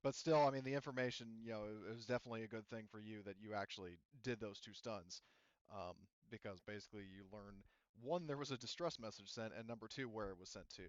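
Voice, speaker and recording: A man running a tabletop roleplaying game as game master, explaining events casually in halting, rephrased sentences. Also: fairly low pitch, clean tone, dry recording, highs cut off. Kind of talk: casual, improvised game narration.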